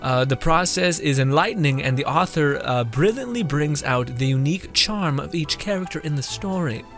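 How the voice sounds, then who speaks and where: A man's voice: on the high side, animated, normal speed, gravelly, a bit bright. A young man doing casual, jokey game commentary and reading text aloud, with game music behind. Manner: giggly, informal, jokey. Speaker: game streamer.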